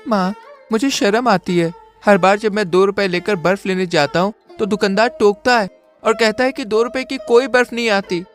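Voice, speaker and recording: A woman's voice: medium pitch, normal speed, faint music behind, lightly acted. A woman voicing a poor young boy who pleads and complains in a cartoon story. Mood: pleading.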